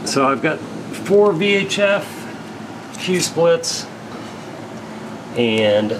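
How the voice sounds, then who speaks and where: A man giving a casual, unscripted product rundown as a gear reseller, halting and technical in a matter-of-fact way. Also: on the high side, very animated, noisy background. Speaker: radio equipment reseller presenting gear.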